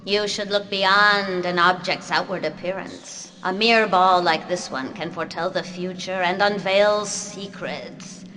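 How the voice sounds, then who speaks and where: A woman voicing a calm, knowing female magician in a game cutscene, measured and instructive. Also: on the low side, slight background noise, rough recording. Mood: mystical. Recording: game music behind.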